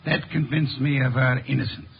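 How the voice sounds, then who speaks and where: An actor playing an eccentric, pompous middle-aged detective in a 1950s radio drama, speaking in precise, formal diction. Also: male voice, medium pitch, fairly even intonation, moderate pace, gravelly, breathy edge. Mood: explaining, assured. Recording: muffled vintage 1950s radio recording.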